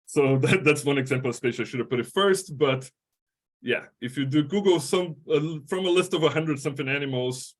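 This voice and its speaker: A middle-aged man with a Portuguese-speaking accent gives a lecture as a history professor. His delivery is halting, full of fillers, restarts and tag questions. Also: mid-range voice. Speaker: history professor giving a talk. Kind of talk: academic lecture.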